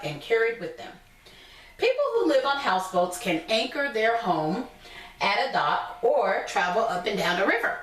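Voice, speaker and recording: A woman teacher reading a picture book aloud to young children, pausing to explain things simply in her own words. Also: a little high. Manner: instructive, repeats and explains points simply. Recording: echoey room, distant from mic.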